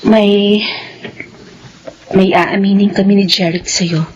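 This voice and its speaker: A woman's voice: mid-range voice, steady tone, slow pace, darkish tone, lo-fi sound, a little hiss or hum, natural voice. An actress playing a hurt young single mother, guarded but tender, in a Filipino radio drama. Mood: guarded, serious. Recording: radio drama with music bed.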